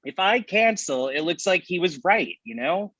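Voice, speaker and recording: A man's voice: high-pitched, very animated, moderate pace, sharp tone, husky, dry recording, heavily acted. A man playing a worried, mild-mannered friend, conversational and conflicted, in a comedy table read. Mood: defensive. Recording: dull, close dry microphone.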